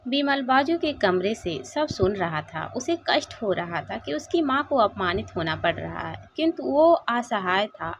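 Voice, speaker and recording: A woman reading a sentimental moral story aloud in an expressive, dramatic way. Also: fairly high pitch. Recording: dull, lo-fi sound.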